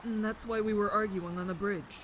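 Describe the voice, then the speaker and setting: A woman's voice: medium pitch, fairly even intonation, fairly quick, a bit bright, close to an everyday voice. A woman voices a nervous, studious teenage boy in an audio drama. He is earnest, eager and a bit hesitant, with slight noise in the background. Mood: anxious.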